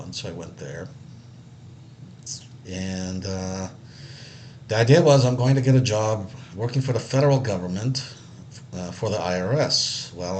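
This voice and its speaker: A man's voice: low-pitched, a little hiss or hum. A man from Israel telling his life story casually, rambling a little with many fillers and restarts. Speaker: accessibility analyst.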